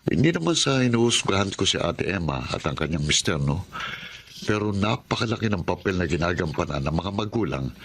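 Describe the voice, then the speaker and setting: A deep-voiced Filipino father. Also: sing-song, brisk, gravelly, fairly bright tone, a little hiss or hum, heavily acted. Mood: earnest, moralizing. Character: plain-spoken middle-aged father, moralizing yet jokey. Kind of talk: Tagalog radio drama acting.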